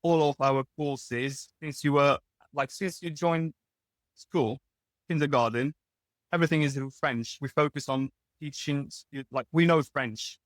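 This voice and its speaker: A man's voice: a little high, fairly even intonation, unhurried, no room sound. A young Lebanese man, whose first language is Arabic, speaks as an interview guest in a candid way, often restarting and correcting himself. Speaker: guest, pharmacist. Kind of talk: casual interview talk.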